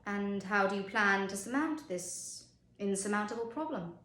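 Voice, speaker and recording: A woman's voice: mid-range voice, animated, normal speed, pushed and bright, voice pushed down. Woman acting a bored, dismissive lady executive, roomy sound. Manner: dry, formal, unimpressed. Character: bored, dismissive female executive interviewing a candidate.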